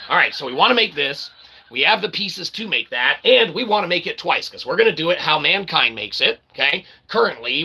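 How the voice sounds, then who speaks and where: A man's voice: fairly high pitch, vocal fry, pushed and bright, husky. A man giving an informal, rambling explainer in a brash, irreverent, self-deprecating way, often checking in with short tag words. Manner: repeatedly tags phrases with "okay" and "all right". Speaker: independent streamer explaining ideas.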